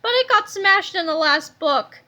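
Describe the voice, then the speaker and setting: A woman's voice: very high-pitched, animated, moderate pace, pushed and bright, voice pushed up, heavily acted. A woman voicing a bratty, arrogant boy genius who bosses others about and whines when he is cornered. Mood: whiny. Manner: bossy, then whining.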